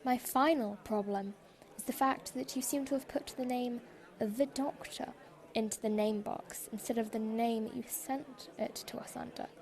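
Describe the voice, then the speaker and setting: A woman's voice: fairly high pitch, steady tone, normal speed, a little mellow, close to an everyday voice. A woman playing a stern, exasperated department store boss in a fan audio drama, formal and condescending.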